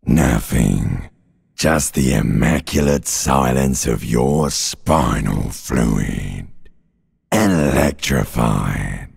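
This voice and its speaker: An ancient, primal creature of the mind speaks in theatrical game style. The voice is bottomlessly low and thick with guttural fry. It drags its words very slowly, taunting and nihilistic, full of menace. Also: male voice, expressive, darkish tone, exaggerated voice. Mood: ominous, brooding. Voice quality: gravelly, very raspy, guttural. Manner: slow, drawn-out, menacing, mocking. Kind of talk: theatrical video game voice acting.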